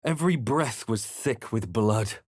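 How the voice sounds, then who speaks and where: A man voicing a brooding adventurer hero in a game, narrating his perils in a measured, grave manner. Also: lowish voice, a little mellow, no room sound, clean studio sound. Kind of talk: dramatic game narration and short character remarks.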